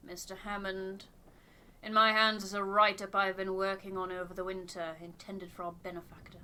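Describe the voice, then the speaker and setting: A woman's voice: medium pitch, monotone, moderate pace, bright, forceful tone, clean tone, lightly acted. A woman voicing a formal, self-important expedition researcher in a game, precise and fussy. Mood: fussy, earnest.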